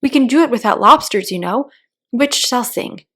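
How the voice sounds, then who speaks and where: A sentimental old-fashioned storybook turtle creature, played by a woman. He has a theatrical, drawn-out way of talking, pitched on the high side and full of expression. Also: expressive, sharp tone. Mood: earnest, wistful.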